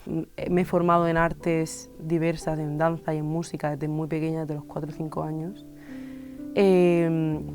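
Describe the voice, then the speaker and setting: A woman in her twenties from Spain, an illustrator and musician, talking thoughtfully in an interview with many pauses and fillers. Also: low-pitched. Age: 20s. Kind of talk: reflective interview monologue.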